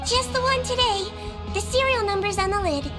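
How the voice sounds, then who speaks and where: A woman voicing a small, kindly girlish head nurse in a video game, gentle, reassuring and instructive. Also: very high voice, normal speed. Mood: friendly, businesslike. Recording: music under the voice.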